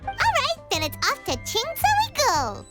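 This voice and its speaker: A woman voicing a tiny childlike fairy companion in a video game, squeaky, chirpy and eager. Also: wildly swooping pitch, measured pace, over loud music, full character voice. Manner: chirpy, eager, speaks of self in third person. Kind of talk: video game cutscene acting.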